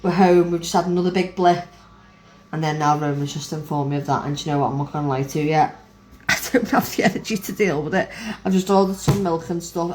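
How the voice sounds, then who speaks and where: A young woman and mother, casually rambling through her day to camera, frank and lively. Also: deep voice. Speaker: vlogger, mother. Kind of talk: casual chatty recap to camera. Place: home. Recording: echoey room.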